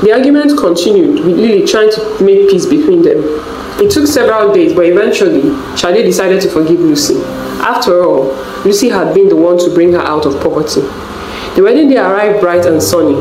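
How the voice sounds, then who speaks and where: A woman's voice: fairly low pitch, expressive, brisk, dark tone, slight background noise. A woman reads a scripted folktale as a storyteller. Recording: roomy echo, music bed. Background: background music.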